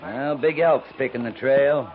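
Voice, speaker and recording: An actor playing a firm, honourable cavalry captain in a 1950s radio western, clipped and authoritative. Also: male voice, medium pitch, measured pace, natural voice. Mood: businesslike. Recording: muffled noisy 1950s radio recording.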